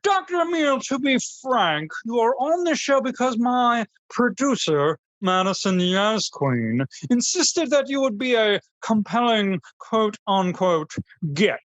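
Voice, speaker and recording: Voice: medium pitch, animated, measured pace, a bit bright, no room sound, muffled recording. An actor voicing a pompous, haughty snob who hosts a parody radio show about snowballs in florid diction. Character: pompous aristocratic snobbish New Orleans snowball connoisseur host. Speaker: host of a food radio show.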